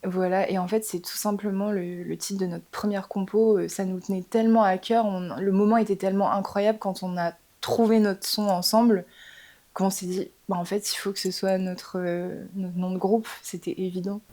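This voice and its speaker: A woman's voice: mid-range voice, flat delivery, moderate pace, dark tone. A young woman, a band's singer, explaining her ideas thoughtfully in a casual interview, with frequent fillers. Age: young adult. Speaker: singer in a band.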